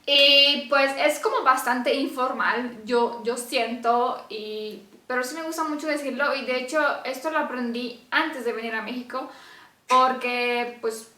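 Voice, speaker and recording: Bright, high-voiced woman vlogging. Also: unhurried. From Romania. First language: Romanian. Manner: chatty, laughs often. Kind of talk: casual chat to camera. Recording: echoey room.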